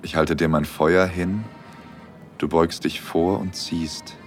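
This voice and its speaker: An actor playing a dreamy, bashful young man, narrating an intimate audio drama in the first person in a soft-spoken way. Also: low-pitched, level tone, takes it slowly, faint music behind. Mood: bashful, smitten. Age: young adult.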